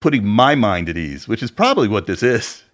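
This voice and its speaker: A man in his forties with a deep voice, co-hosting a podcast and chatting casually from his home setup, rambling a little. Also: very animated, a little fast. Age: 40s. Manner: chatty, rambling, self-deprecating about sound gear. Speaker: podcast co-host. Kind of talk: casual chat. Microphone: studio dynamic mic at home.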